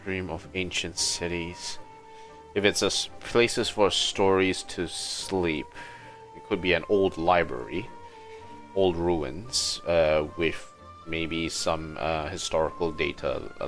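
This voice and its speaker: Deep-voiced, unhurried male game streamer. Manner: hums to himself, muses aloud. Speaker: game livestreamer. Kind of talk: casual gameplay commentary.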